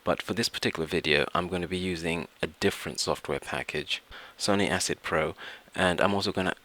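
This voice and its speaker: Male tutorial presenter, low pitch with a crisp bright edge, informal and explanatory. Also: brisk. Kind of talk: informal software tutorial narration.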